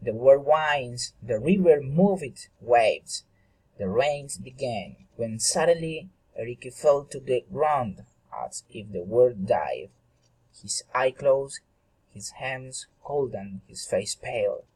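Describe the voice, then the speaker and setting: A man tells a fairy tale in a dramatic style. His phrasing is halting and deliberate, stumbling at times. The voice is mellow, husky and unhurried. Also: medium pitch, lively intonation, rough recording.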